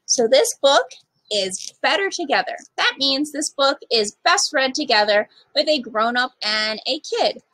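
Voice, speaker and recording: A woman's voice: sits high, bright, forceful tone, no room sound, rough recording. A woman reading aloud to young children as a storytime reader, speaking to them directly in simple, child-directed phrasing.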